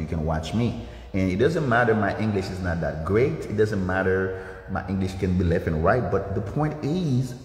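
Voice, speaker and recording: A man's voice: low voice, a little mellow. A man with a Haitian accent, a native Creole speaker, giving an emphatic, repetitive, preaching motivational talk on a live stream. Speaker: dance instructor. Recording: echoey reverberant room.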